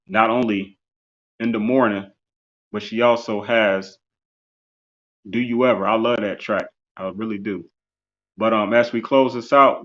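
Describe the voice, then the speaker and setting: A man's voice: lowish voice, fairly bright tone, muffled recording. A man in his forties hosting an interview show, closing it out in a loose, rambling way full of "you know" and "um.". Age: 40s. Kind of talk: casual live-stream show closing.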